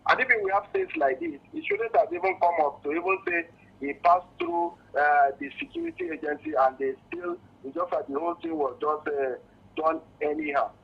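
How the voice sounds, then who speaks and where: High, swooping Nigerian man calling in. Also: huge pitch swings, gravelly, slightly rough. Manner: halting, repeats words, earnest and insistent. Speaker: radio phone-in caller. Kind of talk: spontaneous opinion on a call-in show. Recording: muffled, lo-fi phone call to radio.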